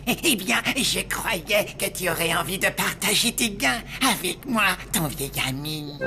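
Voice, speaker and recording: A man's voice: high voice, lively intonation, measured pace, gravelly, music in the background, heavily acted. A man voicing a greedy, scheming cartoon chef with a theatrical, wheedling manner. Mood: sly, wheedling. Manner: theatrical, wheedling, swooping into shrieks. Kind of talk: animated series dubbing.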